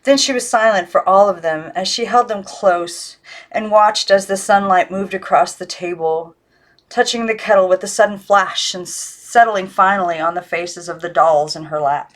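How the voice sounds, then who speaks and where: A woman's voice: medium pitch, moderate pace. Woman reading a storybook aloud. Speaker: amateur audiobook reader. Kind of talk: scripted storybook narration.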